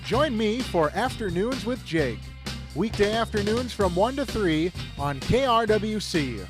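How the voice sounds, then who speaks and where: A man's voice: high-pitched, animated, unhurried. A man with an American accent reading scripted radio promos and ads. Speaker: radio announcer. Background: music.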